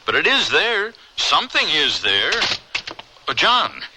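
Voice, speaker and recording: An actor playing a reasonable, loyal scientist in a radio drama, speaking in a measured, earnest way with urgent appeals. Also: male voice, on the high side, huge pitch swings, background noise, heavily acted. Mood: astonished, puzzled. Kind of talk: old-time radio drama acting.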